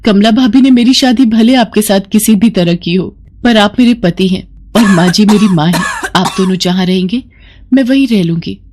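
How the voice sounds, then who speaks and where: A woman voicing a devoted, gentle young wife in a cartoon story, speaking earnestly. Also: medium pitch, normal speed, slight background noise, close to an everyday voice.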